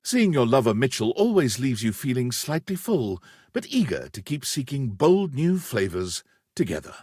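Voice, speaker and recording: A man narrating a whimsical game as a wry, florid storyteller, with measured, theatrical delivery that relishes every flourish. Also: medium pitch, sing-song, darkish tone, husky, dry recording, studio quality. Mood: wry, grandiose. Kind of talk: scripted narration.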